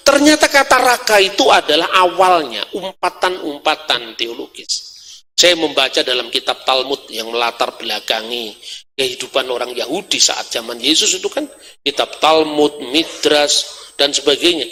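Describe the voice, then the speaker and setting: A man's voice: fairly high pitch, bright, forceful tone. A middle-aged man with a Javanese accent, a native Javanese speaker, teaching scripture like a sermon with rhetorical questions and colloquial asides. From Java, Indonesia. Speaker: religious lecturer. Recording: long hall reverb.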